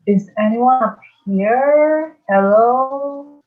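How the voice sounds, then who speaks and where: Woman playing a troubled boy, high and dull-sounding. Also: very slow. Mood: uneasy, baffled. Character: troubled young demigod boy, doubtful and wounded. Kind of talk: musical theatre table read.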